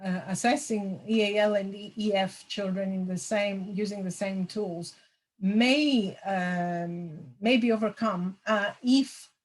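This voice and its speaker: A middle-aged woman, a lecturer answering audience questions over a video call, thoughtful with many pauses and fillers. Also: mid-range voice, poor audio. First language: Greek. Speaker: language assessment lecturer.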